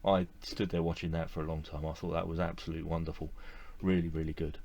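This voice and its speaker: A middle-aged man talking to the camera from a room with display shelves, recalling things he liked in a relaxed, enthusiastic way with lots of fillers and repeated words. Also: rumbling low, fast talker, lo-fi sound. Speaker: model railway collector vlogger. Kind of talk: casual to-camera review.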